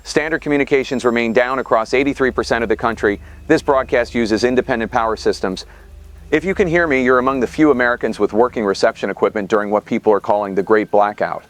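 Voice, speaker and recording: A man's voice: medium pitch, fast, lightly acted. A man playing a grave late-night radio host broadcasting during a crisis in an audio drama, serious and formal. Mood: grave, urgent. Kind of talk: scripted radio host narration.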